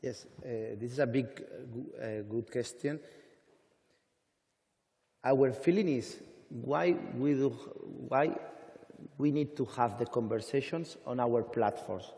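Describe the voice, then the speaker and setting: A man on an industry panel, speaking deliberately with halting, non-native phrasing. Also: medium pitch, vocal fry, dark tone. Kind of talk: panel discussion. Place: conference hall stage. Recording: hall reverb from conference stage.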